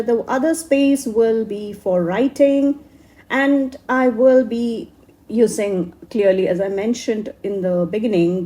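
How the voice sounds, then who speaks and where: Slow-spoken woman, a bit hoarse. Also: medium pitch, dark tone. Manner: slow, halting, many fillers and restarts. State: hoarse from a cold. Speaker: craft YouTuber. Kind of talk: voice-over crafting tutorial.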